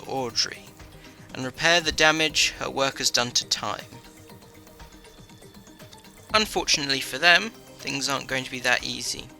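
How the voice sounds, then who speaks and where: A man's voice: mid-range voice, sharp tone. A man giving a scripted review as voice-over narration. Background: faint music.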